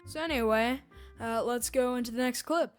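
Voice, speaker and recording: Higher-voiced woman rambling through fan theories. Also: normal speed, faint music behind. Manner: rambling, repeats points. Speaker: podcast host and commentator reacting to clips. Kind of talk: casual fan-theory commentary.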